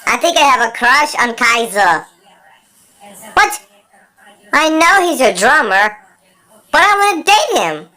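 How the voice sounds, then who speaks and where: An excitable, lovelorn fish creature from a cartoon, with a high voice that swoops widely. The delivery is slow and theatrical, halting mid-phrase, full of gushing drama and self-pity. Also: female voice, heavily acted. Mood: lovestruck. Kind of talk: character voice clips for a fan cartoon.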